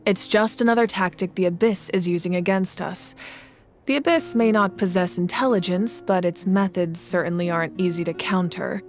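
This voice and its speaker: A woman playing a composed, grave ruler in a video game, speaking in measured, deliberate lines. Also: on the low side, a little mellow, lightly acted. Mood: calm, serious. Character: solemn, composed woman war-god ruler of a nation. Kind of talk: video game voice acting, dramatic exposition. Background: game music.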